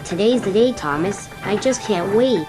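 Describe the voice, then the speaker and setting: Woman acting small young male engine, eager, friendly, lively pitch, music very loud. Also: normal speed, close to an everyday voice. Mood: excited. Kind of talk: comic dub voice acting.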